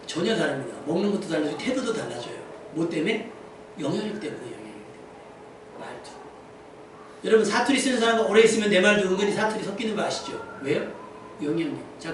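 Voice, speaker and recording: A man's voice: sits high, fairly bright tone. A male pastor preaching a Sunday sermon to a congregation, posing rhetorical questions and repeating key phrases for emphasis. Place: indoor church service. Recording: very echoey church hall, distant mic, faint noise.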